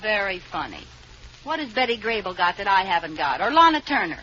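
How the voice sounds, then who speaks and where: An actress playing a spirited young housewife in a 1940s radio comedy, bubbly and coaxing, quick with a comeback. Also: female voice, medium pitch, very animated, bright, forceful tone. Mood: scheming. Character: scheming, spirited young housewife set on acting. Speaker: lead in a radio sitcom. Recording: dull, hissy old radio transcription.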